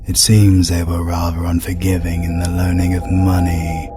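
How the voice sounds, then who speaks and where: An actor with a British accent playing a solemn, grieving ghostly guide in an audio drama, murmuring gravely and deliberately. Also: male voice, low-pitched, monotone, music in the background, natural voice. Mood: ominous, solemn. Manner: slow, deliberate, weighty. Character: solemn, menacing ghostly spirit guide, grieving father of villainous repute.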